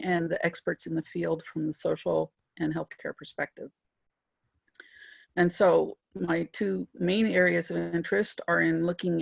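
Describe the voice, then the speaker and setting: A woman's voice: on the low side, gravelly, husky, dry recording. A middle-aged or older woman introducing herself as an expert panelist in semi-formal, unscripted talk. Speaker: panelist, AI practitioner. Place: remote video call.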